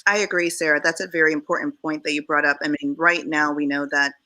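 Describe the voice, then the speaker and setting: A woman's voice: low-pitched, fairly even intonation, fast talker, bright, forceful tone. A woman from Jamaica speaking earnestly as a panelist in a discussion.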